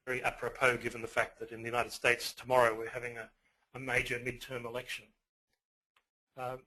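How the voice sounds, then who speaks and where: An Australian man talks through an online lecture with a bright, slightly husky voice. He fills his pauses with many "uh"s as he goes. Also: medium pitch, rough recording. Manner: hesitant, many fillers. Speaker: guest lecturer.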